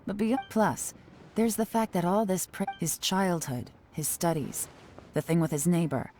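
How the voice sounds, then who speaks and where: A woman playing a cool, shrewd investigator in an anime-style video game, composed and analytical with brisk authority. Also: on the low side, expressive, warm tone, a little hiss or hum. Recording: music playing behind the voice.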